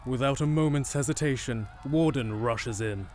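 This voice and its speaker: A man narrating an audio drama from a script in a measured, descriptive storytelling manner. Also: mid-range voice, heavy background noise.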